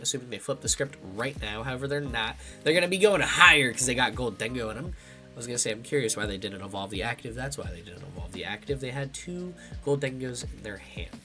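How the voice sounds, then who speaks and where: A man's voice: mid-range voice, pushed and bright. A young man with a congested, slightly nasal voice, casually thinking aloud while commentating his own card game match. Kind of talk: live gameplay commentary.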